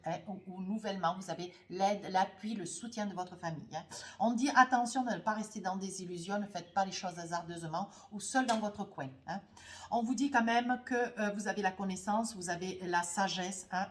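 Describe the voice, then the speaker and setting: A woman's voice: mid-range voice, slight background noise. A woman with a cold reads tarot cards for her viewers in speech. She rambles and hesitates, tagging her sentences with little asides. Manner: rambling, hesitant, full of "hein" and "voyez" tags. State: has a cold, runny nose. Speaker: tarot card reader. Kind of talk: spoken tarot reading to viewers.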